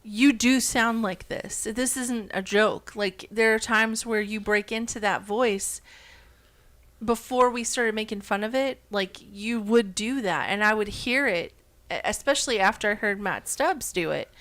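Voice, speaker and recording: A woman co-hosting a friendly podcast in a mid-pitched voice. She teases the others and laughs often as she talks. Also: unhurried, fairly bright tone. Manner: teasing, laughs a lot. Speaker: podcast co-host. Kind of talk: casual chat among friends.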